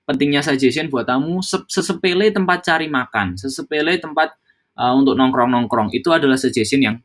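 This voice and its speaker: A man's voice: medium pitch, fairly bright tone. A young man giving an informal training talk to hospitality coworkers, mixing English phrases into casual speech, with frequent fillers and restarts. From Java, Indonesia.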